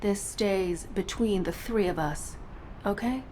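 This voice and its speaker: A woman's voice: mid-range voice, slow pace, a little mellow, slight background noise, natural voice. A woman playing a stern, secretive sci-fi intelligence operative in a video game, controlled, dry and coolly menacing. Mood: warning.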